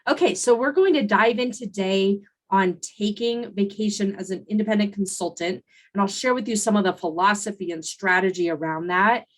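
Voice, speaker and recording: A woman's voice: medium pitch, normal speed. Conversational woman podcast host, coaching. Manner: conversational, with fillers and restarts. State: recovering from laryngitis. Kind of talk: solo podcast monologue.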